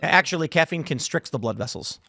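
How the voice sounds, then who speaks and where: A man's voice: a little high, lively intonation, a little fast, vocal fry, bright, forceful tone, no room sound. A man hosting a casual political panel show, opinionated and prone to acting out imagined exchanges. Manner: opinionated, acts out imagined dialogue. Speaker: podcast host.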